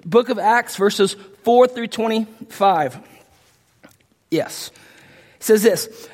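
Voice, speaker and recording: A man preaching a verse-by-verse sermon, his voice a little lower from illness, earnest with frequent restarts and rising emphasis. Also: in a large hall. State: sick, voice deeper than usual. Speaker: pastor.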